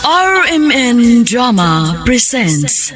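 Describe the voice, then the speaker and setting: A woman introduces a radio drama with theatrical weight over loud music. She speaks very slowly in a fairly high voice. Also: very animated. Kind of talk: slow dramatic scripted programme introduction.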